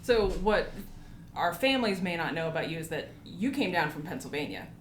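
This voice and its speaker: A woman's voice: lowish voice, animated, a little fast. An American woman hosting a conversational podcast interview, asking questions and giving short acknowledgements. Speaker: podcast host. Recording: echoey room with faint background noise.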